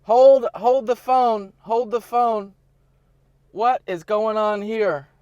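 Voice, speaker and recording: High-voiced man hosting a casual podcast. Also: steady tone, takes it slowly, darkish tone, smooth, dry recording, muffled recording. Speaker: podcast host. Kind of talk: casual chat. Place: remote video call.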